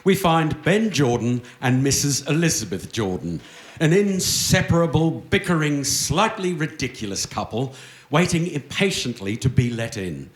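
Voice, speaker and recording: A man's voice: medium pitch, sing-song, moderate pace, lightly acted. An actor playing a tipsy, mischievous old grandfather who narrates his family tale wryly in a live radio play. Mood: wry narrating. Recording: roomy live stage, faint noise.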